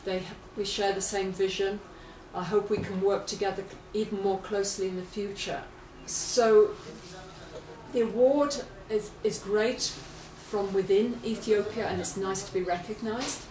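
A woman's voice: mid-range voice, fairly even intonation, a little slow, a little mellow. A woman in her fifties giving an interview answer as an award recipient. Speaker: honorary award recipient, education charity worker. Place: small indoor room with whiteboard. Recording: roomy, noisy, slightly distant mic.

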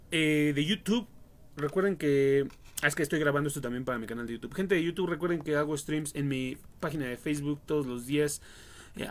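Young male Mexican streamer, jokey and crude, fry-heavy voice, casual play-by-play over faint noise. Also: mid-range voice. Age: young adult. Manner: slangy, foul-mouthed, jokey. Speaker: livestream gamer. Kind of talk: casual game livestream commentary.

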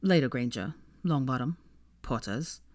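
A woman's voice: extremely low pitch, expressive, measured pace, a little mellow, dry recording, voice pushed down. A woman voicing a smug, dryly teasing young male wizard in a storybook reading. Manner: dry, teasing.

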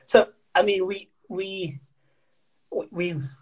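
A man's voice: high voice, slow pace, a crowd in the background, poor audio. Upbeat young man hosting a live call. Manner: upbeat, enthusiastic, many fillers. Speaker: host. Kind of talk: casual livestream interview. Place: small indoor room. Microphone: phone front camera, video call.

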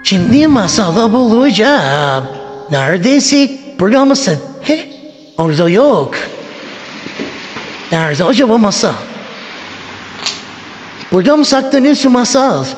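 Woman as scary old granny for kids' skit: high, swooping, taunting; echoey hall with music. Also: sing-song, a little mellow. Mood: menacing, searching. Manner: slow, taunting, repetitive threats. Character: menacing old granny villain hunting a hiding child. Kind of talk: improvised children's family skit. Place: indoors in a home hallway and rooms. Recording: echoey hallway, music behind.

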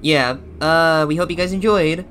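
A man's voice: on the high side, moderate pace, fairly bright tone, slight background noise, close to an everyday voice. A man voicing an eager, deferential cartoon shadow bear sidekick in hesitant, improvised banter. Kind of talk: improvised character reaction banter.

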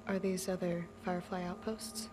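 A woman's voice: on the low side, flat delivery, normal speed, background noise, close to an everyday voice. A performer with a woman-like voice playing a skeptical, curious teenage boy, a wary and terse survivor in a game. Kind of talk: naturalistic game cutscene acting. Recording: music behind the voice.

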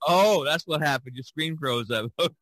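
An American man hosting a casual podcast chat, speaking in halting, hesitant phrases. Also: on the high side, fairly bright tone, no room sound. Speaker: podcast host.

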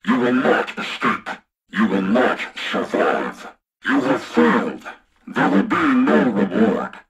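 A sinister spy-ring boss from a video game. The voice is very husky and slow, swinging widely in pitch as it taunts and gives cold, threatening orders. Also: male voice, expressive, fairly bright tone, full character voice. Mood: menacing. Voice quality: husky, gravelly. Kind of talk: scripted video game voice acting.

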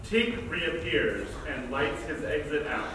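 A man's voice: on the high side, sing-song, takes it slowly, fairly bright tone. A man reading scripted stage directions as narrator of a staged play reading. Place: large theater stage, recorded from afar. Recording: echoey theater, distant mic, noisy.

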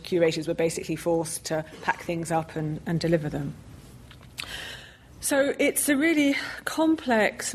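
Woman, lowish pitch, panel expert, explanatory, halting delivery with repeated words. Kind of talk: extemporaneous explanatory panel talk. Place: public panel event on a stage.

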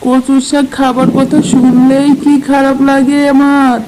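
A woman voicing a lazy, grumbling village husband in an animated cartoon. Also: high voice, a little slow, heavy background noise. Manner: grumbling, exasperated. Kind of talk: cartoon dubbing.

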